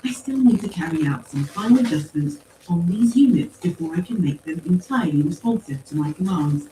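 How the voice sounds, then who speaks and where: A woman voicing a calm, formal machine intelligence in an audiobook story, with precise, measured phrasing. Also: lowish voice, natural voice. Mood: formal, businesslike. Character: calm, coolly logical machine mind speaking through empty suits. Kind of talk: acted audiobook dialogue. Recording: roomy, distant pickup with faint noise.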